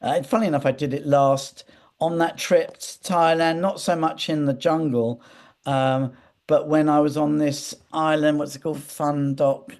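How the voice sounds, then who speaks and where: A man's voice: on the high side, fairly even intonation, moderate pace, a little mellow. A man speaking as an interview guest, telling anecdotes in a hesitant, digressive way with repeated words. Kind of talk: conversational interview, rambling anecdotes.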